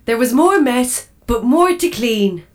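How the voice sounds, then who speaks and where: An actress in an audio drama playing an eager, simple-minded little robot vacuum cleaner, cheerful in manner. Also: female voice, on the high side, lively intonation, slow.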